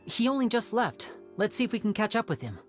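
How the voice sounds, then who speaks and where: A woman voicing a composed, courteous, shrewd young character in a video game, measured and wry. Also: medium pitch, big pitch swings, soft background music, natural voice. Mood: wry, resolute. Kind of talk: English video game voice acting.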